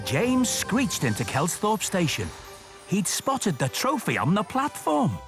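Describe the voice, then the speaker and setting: A man with a high voice presents for young children. His delivery is expressive and carefully enunciated, with very wide swings in pitch, over music.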